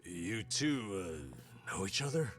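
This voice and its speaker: An actor playing a jovial, excitable middle-aged regular guy in a video game, informal and expressive. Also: fairly low pitch, takes it slowly, faint music behind, exaggerated voice. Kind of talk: dramatic video game acting.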